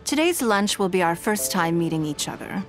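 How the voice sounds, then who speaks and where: A woman voicing a poised, shrewd businesswoman in a game, speaking in a composed, measured, elegant way. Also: on the low side, sing-song, faint music behind. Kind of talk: game dialogue.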